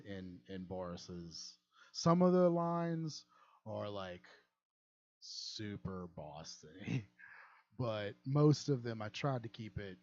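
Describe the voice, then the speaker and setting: A man's voice: medium pitch, darkish tone, dry recording, dull audio. A young man chatting casually on a livestream about modding a game, rambling and chuckling at himself. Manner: rambling, chuckling, self-deprecating. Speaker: game modder and streamer.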